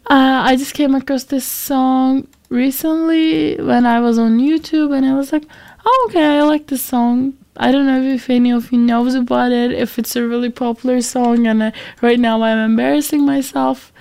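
A young woman with a high voice that often slips into creak, still recovering from being sick. She chats casually as a student radio host, rambling along and poking fun at herself. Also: fairly even intonation, normal speed, a little mellow. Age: young adult. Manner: rambling, self-deprecating. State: recovering from a week of sickness. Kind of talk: casual music show chat.

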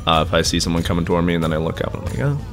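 A young man with a stuffed-up, nasal voice, rambling loudly in a casual chat and often breaking off his own sentences. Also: deep voice, very fast, faint music behind. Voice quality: loud. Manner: rambling, self-interrupting, laughs often. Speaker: co-host.

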